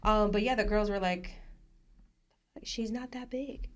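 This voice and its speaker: A woman's voice: mid-range voice, measured pace. A woman guest on a podcast, chatting casually, telling stories with lots of laughter and acting out what other people said. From Puerto Rican family, from New Jersey. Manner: animated storyteller, laughs often, acts out quotes. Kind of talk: casual interview chat.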